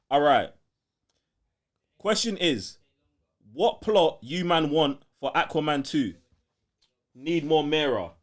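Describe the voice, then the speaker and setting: A man with a London accent co-hosting a casual live podcast, bantering in slang and reading out viewer messages. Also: fairly high pitch. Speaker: podcast co-host reading out super chats.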